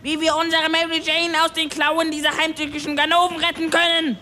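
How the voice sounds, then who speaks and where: Woman playing a high, bright, grumpy little ship's kobold. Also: a little slow, a little hiss or hum. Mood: furious. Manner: scolding, exasperated, emphatic. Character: grumpy, furious little male ship's kobold, scolding know-it-all. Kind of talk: acted German audio story.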